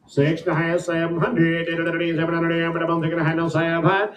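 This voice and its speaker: A man's voice: a little high, monotone, moderate pace, slight background noise. An American man working as a livestock auctioneer, with rhythmic, repetitive patter and sales talk. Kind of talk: rapid auction chant mixed with spoken sales pitches. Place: indoor livestock auction ring. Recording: echoey PA in auction barn.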